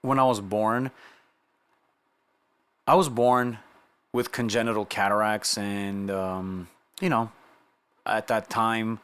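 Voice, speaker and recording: Man, middle-aged, slightly low voice, casual personal storytelling, conversational, from Romania, checks in with listener. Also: steady tone, slow pace, long pauses, a bit bright, smooth. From Romania, moved to the United States at nine. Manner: conversational, checks in with listener with "you know" and rhetorical questions. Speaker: vlogger sharing personal testimony.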